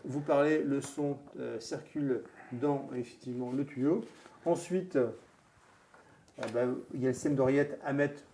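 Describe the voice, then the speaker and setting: A man hosting a show, presenting a product conversationally in a halting, informal way and chiming in with agreement. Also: mid-range voice, dark tone, slight background noise.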